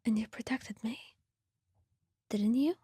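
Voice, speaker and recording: Woman acting gentle companion, ASMR roleplay, soft breathy whisper, slow, soothing, dry close sound. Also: fairly high pitch, no room sound, full character voice. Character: gentle, reassuring companion of a young swordsman.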